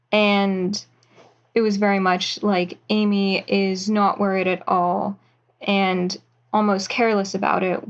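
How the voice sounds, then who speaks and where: Slow-talking thoughtful woman, muffled sound. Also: medium pitch. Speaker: writer and actor, guest. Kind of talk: reflective interview answers.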